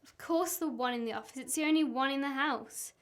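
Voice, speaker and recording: A woman's voice: high-pitched, brisk, lightly acted. A young woman playing a suspicious, exasperated wife in an amateur radio play. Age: young adult.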